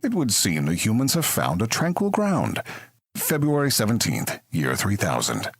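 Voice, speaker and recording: Man acting as husky, theatrical sci-fi announcer. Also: fairly low pitch, wildly swooping pitch, normal speed, no echo, studio quality, exaggerated voice. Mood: grave, epic. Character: grand sci-fi narrator and slick future advertising announcer. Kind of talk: dramatic scripted narration.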